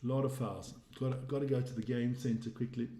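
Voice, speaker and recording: A man's voice: medium pitch, steady tone, fast talker, warm tone, echoey room. A man with a South African English accent hosting a casual live stream, rambling through sports stats and reading out viewer comments. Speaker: livestream host.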